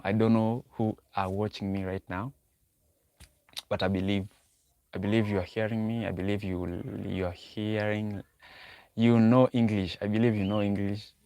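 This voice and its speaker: A man's voice: fairly low pitch, a little mellow, dry recording. A young man hosting a livestream, talking casually about dating advice, repeating himself as he thinks aloud and answering chat. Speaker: livestream host.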